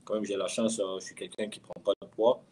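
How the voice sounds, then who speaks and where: A man's voice: fairly low pitch, level tone, a little fast, darkish tone, breathy edge. A man in his thirties, a footballer being interviewed, talking casually and often repeating words and phrases for emphasis. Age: 30s. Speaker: professional footballer, interview guest. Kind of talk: casual conversational storytelling.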